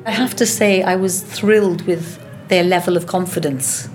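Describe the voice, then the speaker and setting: A woman's voice: fairly low pitch, fairly even intonation, normal speed, music in the background. A woman who directs an opera studio, talking about her singers with eager emphasis. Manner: enthusiastic, emphatic, laughs easily. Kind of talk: interview and coaching talk. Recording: faint background noise.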